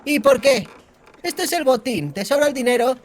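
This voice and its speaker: A man's voice: extremely high pitch, lively intonation, moderate pace, pitched above the performer's own voice. A man voicing a brash, streetwise young bandit girl with a defiant, slangy manner in a fantasy audiobook. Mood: indignant. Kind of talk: audiobook character voice.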